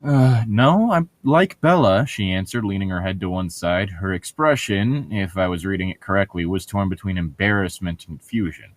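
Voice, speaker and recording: A man's voice: low-pitched, moderate pace, few pauses. A man co-hosting a comedic read-along, reading a book aloud with casual, irreverent, sweary banter.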